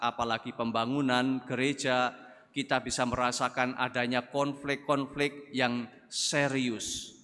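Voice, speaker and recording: Older male priest, unhurried conversational sermon, pulpit mic, big echoing church. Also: mid-range voice, fairly bright tone. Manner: measured, conversational preaching with rising emphatic bursts. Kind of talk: sermon at a thanksgiving Mass. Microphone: gooseneck pulpit mic. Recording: long church reverb.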